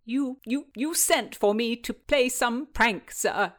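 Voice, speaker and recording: Woman acting indignant, high-pitched young Tudor gentleman. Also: takes it slowly. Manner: exclamatory, spluttering outbursts. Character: indignant, whining young gentleman, prone to blubbering. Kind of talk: dramatized historical fiction reading.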